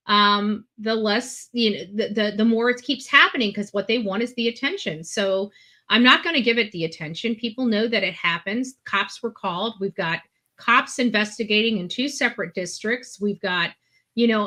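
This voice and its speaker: A woman's voice: medium pitch, sharp tone. A woman in casual, opinionated discussion as a guest on a stream, speaking bluntly and emphatically, repeating herself for force. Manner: blunt, emphatic, repetitive, swearing. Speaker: guest panelist on a true-crime stream.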